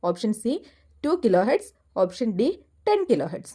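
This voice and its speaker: A woman tutor with a Malayali accent and a fairly high, soft-edged, mellow voice. She takes her time, reading quiz material item by item with careful enunciation. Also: sing-song, drawn-out words, breathy edge. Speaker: exam tutor. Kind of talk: scripted quiz lesson, reading questions and options.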